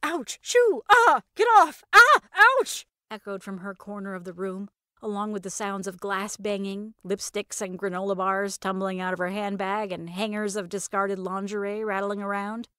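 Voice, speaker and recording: A woman's voice: mid-range voice, little pitch movement, moderate pace, clean tone, no echo, clean studio sound. A woman reading scripted first-person fiction as a narrator.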